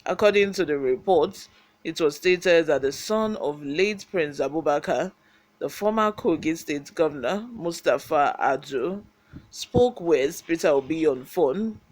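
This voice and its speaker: A man with a Nigerian accent presents the news for an online channel. His voice sits a little high for a man. He moves between scripted reporting and informal commentary. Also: expressive, a little slow, low-quality recording.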